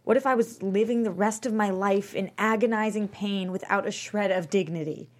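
An actress playing an anguished, guilt-ridden wife in a dramatic script read, speaking in a halting, naturalistic way. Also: female voice, mid-range voice, lightly acted. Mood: pleading. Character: anguished, guilt-ridden wife caring for her sick friend.

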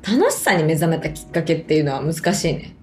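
A woman's voice: low voice, very animated, fast, a little mellow, a little hiss or hum. Kansai lady chatting casually on a show, voice swings up and down, roomy echo. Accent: Kansai Japanese. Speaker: co-host of a chat show; stage actress. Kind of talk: casual chat.

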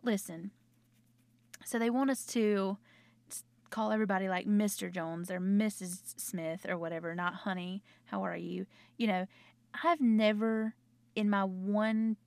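A young woman with a North Carolina Southern accent, chatting casually and rambling, her voice slightly hoarse. She is a nurse talking into her own microphone in a small closet at home. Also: medium pitch, fairly even intonation, unhurried, dry recording. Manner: rambling, chatty, self-interrupting. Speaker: nurse and podcaster. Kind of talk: casual late-night chat.

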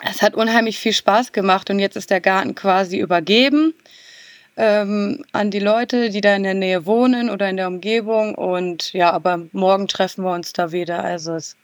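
Relaxed rambling woman on a dry video call. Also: medium pitch, moderate pace, dry recording. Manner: relaxed, rambling, frequent "ähm", laughs easily. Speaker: guest, garden designer. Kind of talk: interview answers.

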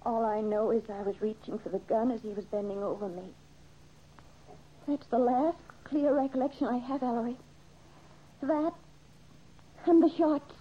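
A woman's voice: fairly high pitch, fairly even intonation, normal speed, long pauses, lightly acted. An actress playing a frightened but plucky young woman in an old radio mystery, with a wry, flustered, hesitant manner. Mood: shaken, bewildered. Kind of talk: 1940s radio mystery acting. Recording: muffled, noisy old radio recording.

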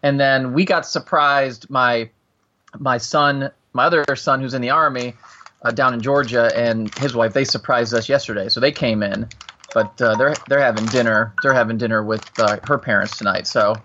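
A man in his forties with a bright, forceful voice, telling long rambling stories on a podcast. He restarts his sentences often and raises his voice as he gets into it. His audio comes through dull and lo-fi, like a remote call. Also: mid-range voice, steady tone, moderate pace, a little hiss or hum. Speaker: podcast guest, host of another show. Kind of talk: casual podcast chat, storytelling.

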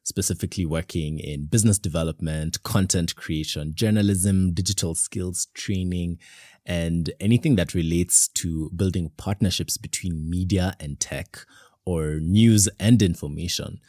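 A man's voice: sits low, a little slow, darkish tone, dry recording, clean studio sound. A man hosting a podcast in a casual, chatty way, with many "you know" fillers. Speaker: podcast host.

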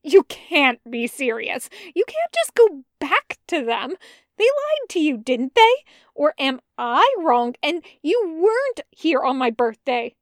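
A woman reader voicing a young woman who pleads earnestly and fiercely, her voice climbing high. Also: animated, takes it slowly, no echo, studio quality. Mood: angry.

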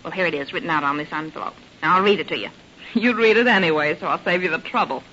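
An actress playing a gossipy, sassy maid with brisk, slangy, confiding delivery in a radio drama. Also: female voice, low-pitched, sharp tone. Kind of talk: 1930s radio drama acting. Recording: hissy, muffled old radio recording.

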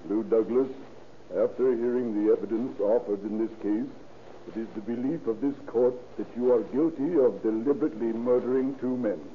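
An actor playing a stern, formal man of the law in 1930s radio drama, speaking with deliberate authority. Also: mid-range voice, fairly even intonation, phone-quality sound, lightly acted. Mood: stern, solemn. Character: stern, authoritative lawman and sentencing judge. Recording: thin, hissy old radio recording.

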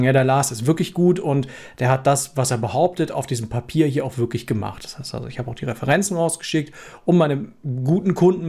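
A middle-aged German man speaking in clean, accent-free standard German from Hanover. His voice is mellow and a bit dark, sitting at a middle pitch. He talks informally to the viewer, stopping often to restart a phrase or fill a pause with "ähm.". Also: gravelly. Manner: conversational, frequent fillers and restarts. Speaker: software developer vlogger. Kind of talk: casual personal storytelling.

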